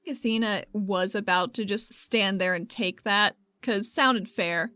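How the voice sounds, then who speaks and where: A woman's voice: medium pitch, a little slow, pushed and bright, no echo. A woman playing a tabletop game, chatting and narrating her character's actions informally, with casual swearing. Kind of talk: casual roleplay chat and narration.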